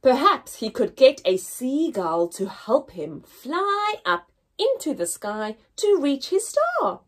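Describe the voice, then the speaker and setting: A young woman reading a picture story aloud for small children, expressively, with deliberate pauses and emphasis and a slightly husky voice. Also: sits high, very animated. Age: young adult. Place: indoors, small room with painted backdrop.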